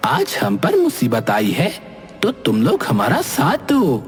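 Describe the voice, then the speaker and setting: Guy doing an indignant cartoon ghost, bossy lecturing, super animated, big echoey hall, bit of music. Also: medium pitch, slow. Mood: reproachful. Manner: assertive, lecturing. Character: Bholu, indignant ghost defending wild forest animals. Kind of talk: Hindi cartoon voice acting. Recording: long hall reverb, faint music behind.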